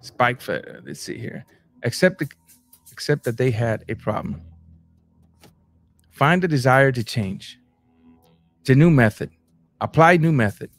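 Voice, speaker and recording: A man from Cuba, hosting a casual boxing livestream and reading viewer comments, animated, stumbling over his words and jumping up in pitch. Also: a little slow, pauses often, faint music behind. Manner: animated, repeats words and restarts, rising to high bursts.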